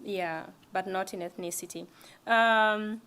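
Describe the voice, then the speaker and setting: A woman's voice: mid-range voice, steady tone, slow, sharp tone, clear, resonant tone. A young woman giving a casual library lecture, talking conversationally with frequent restarts. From East Africa. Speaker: language presenter.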